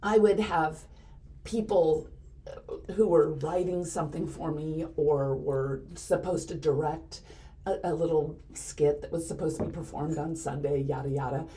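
A woman co-hosting a casual chat, talking in an earnest, encouraging way, a little hoarse. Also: low voice, steady tone, unhurried, warm tone. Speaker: podcast co-host.

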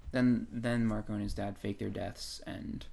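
A man's voice: lowish voice, level tone, measured pace, creaky, crowd noise. Casual man chatting, geeky and rambling. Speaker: podcast co-host. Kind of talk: casual book discussion chat.

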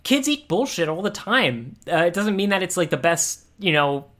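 A man's voice: high-pitched, very animated, normal speed, a bit bright. A man co-hosting a podcast in casual comedic chat, rambling and restarting his phrases as he goes. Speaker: podcast co-host.

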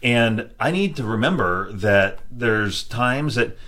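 A man's voice: medium pitch, lively intonation, slow pace, fairly bright tone. A middle-aged man who hosts a podcast, talking in a reflective, casual way with many restarts and "you know"s. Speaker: podcast host. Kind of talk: casual conversation.

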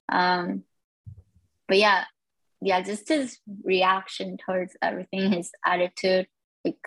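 A woman's voice: on the low side, a bit bright, slightly rough, no room sound, low-quality recording. A woman sharing her own experience as a guest in a casual, reflective way. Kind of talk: casual personal storytelling.

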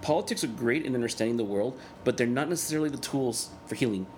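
A man in his thirties whose first language is Spanish, speaking as a guest in a candid interview, earnest and reflective, often halting and restarting. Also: medium pitch, darkish tone, slight background noise. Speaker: guest, cartoonist and organizer.

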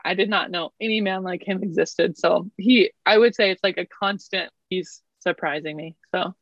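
A woman's voice: on the low side, moderate pace, no room sound, muffled recording. A woman in her thirties from Canada, chatting as an interview guest with a self-deprecating, laughing manner. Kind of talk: casual interview chat.

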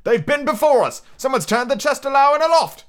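A man voicing rough, excitable pirate henchmen who call out loudly and grumble at their leader in an adventure storybook. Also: high voice, moderate pace, voice pushed up. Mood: excited, alarmed. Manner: calling out loudly.

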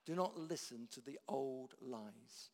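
A man preaching a sermon, swinging from loud declarations down to hushed, quiet lines. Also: medium pitch, slow pace. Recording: long hall reverb.

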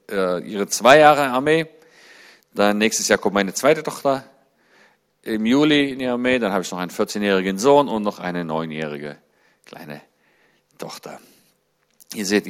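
A man in his forties giving a sermon-style talk to a church congregation. He chats in a friendly way, then grows fervent and climbs high and loud on his main points. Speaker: guest speaker at a church.

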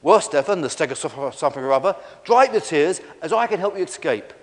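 A man's voice: expressive, fast, close to an everyday voice. A man voicing a massive, kindly storybook diplodocus who speaks in a helpful, reassuring way. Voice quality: deep. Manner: reassuring, explanatory. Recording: long echoey hall reverb.

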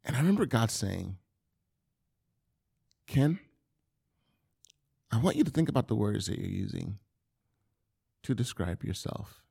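A man giving an earnest, confessional sermon testimony, often halting and repeating words as he speaks. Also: lowish voice, sing-song, vocal fry, mellow, slightly rough.

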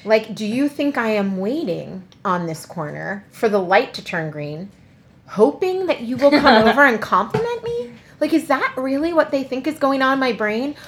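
A woman co-hosting a podcast in casual chat, animated, swearing and mocking people in quoted voices. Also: fairly high pitch, moderate pace, slight background noise. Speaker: podcast co-host.

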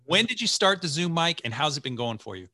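A man's voice: a little high, sing-song, fast, bright, forceful tone. A man in his forties hosting a podcast, chatting enthusiastically with a guest over a video call. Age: 40s. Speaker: podcast host. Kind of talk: casual interview chat.

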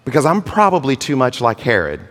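A man preaching a sermon as pastor, earnest, with drawn-out phrasing. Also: mid-range voice, wildly swooping pitch, fairly bright tone. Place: church sanctuary. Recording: long hall reverb.

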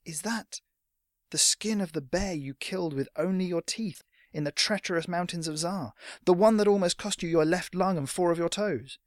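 A man's voice: sits high, moderate pace, no echo, studio quality, voice pushed up, natural voice. A man voicing a young boy in a storybook, earnest, polite, hesitant and naive. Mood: curious.

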